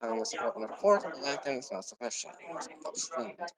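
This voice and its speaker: A man's voice: a little high, animated, fast talker, creaky, over a noisy crowd. A man reading a technical policy document aloud, stumbling over words and repeating phrases as he goes. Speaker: reader.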